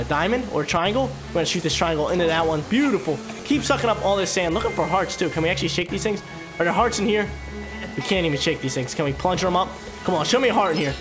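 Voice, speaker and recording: A young man with a stuffy, congested voice, commentating his video game playthrough to viewers on a headset mic, hyped and chatty. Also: a little high. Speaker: gaming channel host. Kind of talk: gameplay commentary. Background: game music.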